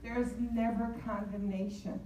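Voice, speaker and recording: Older female, earnest church testimony, unhurried and emphatic, echoey distant sound. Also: mid-range voice, level tone, dark tone. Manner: deliberate, emphatic. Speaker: church member giving testimony. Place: large church sanctuary. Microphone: handheld mic, picked up by distant camera. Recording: echoey church from far off, faint noise.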